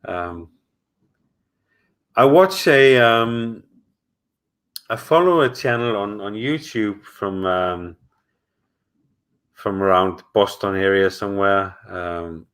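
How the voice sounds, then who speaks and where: A middle-aged man with a fairly low voice, chatting casually from home during a live stream. He rambles, hesitates, and restarts his sentences often, filling gaps with "uh" and "um" as he answers viewers. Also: slow pace, pauses often, fairly bright tone. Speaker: channel host answering live chat.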